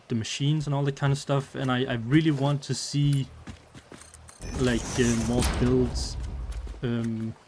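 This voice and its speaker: A man's voice: mid-range voice, a little mellow, a little hiss or hum. A man from Denmark with a Danish accent, chatting casually on a live stream, rambling with many fillers and restarts.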